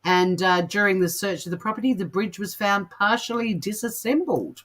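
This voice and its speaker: A woman's voice: medium pitch, steady tone, fairly quick, a bit bright. A woman co-hosting a comedy news show, chatting casually and reading out odd stories in a jokey, amused way.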